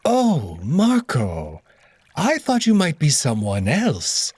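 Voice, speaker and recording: Fussy, cowardly male cat courtier, voiced by a man; lively swooping pitch, dry close sound. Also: slow, husky, no echo, heavily acted. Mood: haughty. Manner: panicky, whiny then haughty.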